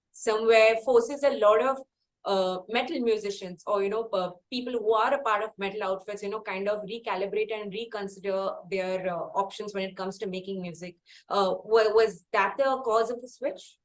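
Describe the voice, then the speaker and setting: A woman with an Indian accent and an average-pitched voice, hosting a music interview. She speaks conversationally, building long questions with hesitations and rephrasing along the way. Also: moderate pace, rough recording. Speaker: interviewer, music show host.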